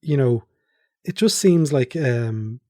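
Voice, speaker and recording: A man's voice: medium pitch, expressive, slow, darkish tone, dry recording, clean studio sound. An Irish man hosting a podcast, chatting informally and asking questions through anecdotes. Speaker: podcast host, interviewer. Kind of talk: casual chat.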